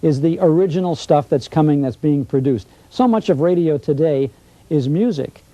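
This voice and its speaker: A man's voice: fairly high pitch, normal speed, mellow, dry recording. A man giving opinions in a conversational interview, plainspoken and emphatic, often repeating himself.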